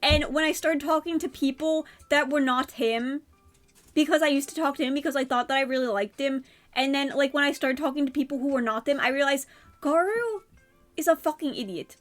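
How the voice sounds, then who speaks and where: A woman's voice: high voice, a little fast, soft background music. A young woman with a stuffy nose from a cold, rambling in a casual vlog from her bedroom with many fillers and restarts. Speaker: vlogger.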